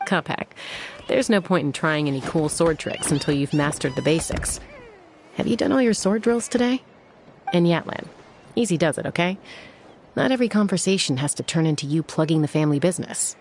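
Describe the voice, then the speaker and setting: A woman playing a composed young warrior and protective peacemaker in a video game, with a calm, measured, gently firm manner. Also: low-pitched, a little hiss or hum.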